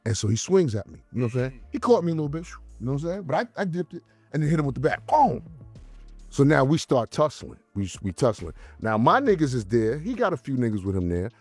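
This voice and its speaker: A man's voice: medium pitch, sing-song, fast, darkish tone, no room sound, faint music behind. A man telling the story of a fight in a casual interview, heated and boastful, leaning on fillers.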